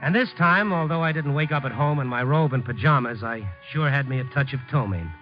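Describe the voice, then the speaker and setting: A man's voice: medium pitch, brisk, natural voice. An American man acting in a 1950s radio drama as a lovelorn, world-weary, slangy city reporter. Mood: resigned, wry. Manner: slangy, hard-boiled, wry. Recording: muffled 1950s radio recording, music underneath.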